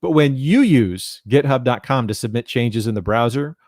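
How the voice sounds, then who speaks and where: Man, thirties, medium pitch, technical presenter, measured explanatory delivery, headset mic, very little room sound. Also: expressive, a little mellow, no echo, studio quality. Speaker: presenter, documentation content developer. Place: small indoor room, home video call.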